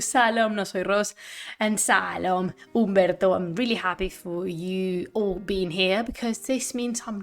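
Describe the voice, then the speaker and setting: Host, young woman, Spanish native speaking English, chatty and expressive, faint music under her. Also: mid-range voice. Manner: lively, laughing, drops to whispers. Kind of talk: casual livestream chat before a reading.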